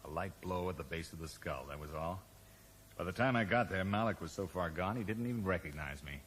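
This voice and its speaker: An actor playing a cool, calculating schemer, urbane, wry and evasive, in a 1980s radio drama. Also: male voice, deep voice, expressive, a little fast, fairly bright tone. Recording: echoey, noisy old radio broadcast recording.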